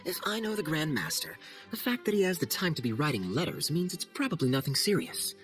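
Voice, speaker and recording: Suave, teasing knight captain. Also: male voice, fairly high pitch, huge pitch swings, fairly quick. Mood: wry, reassuring. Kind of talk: video game voice acting. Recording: faint music behind.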